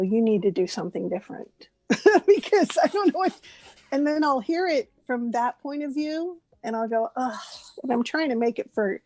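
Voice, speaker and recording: A woman in her late forties, a voice actress chatting as an interview guest, talking warmly and laughing often. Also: fairly high pitch, no room sound. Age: 40s. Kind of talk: casual interview chat.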